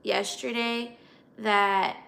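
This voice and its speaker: Raspy young American woman, casual livestreamer. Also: medium pitch, flat delivery, extremely slow, fairly bright tone. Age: 20s. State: slightly hoarse voice. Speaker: livestream host.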